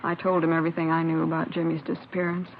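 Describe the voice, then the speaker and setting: An American actress playing a kindly, talkative next-door neighbor in a 1950s radio crime drama. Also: female voice, low voice, completely flat delivery, very fast. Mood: earnest, sympathetic. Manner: homely, talkative, sympathetic. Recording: muffled, noisy vintage radio broadcast.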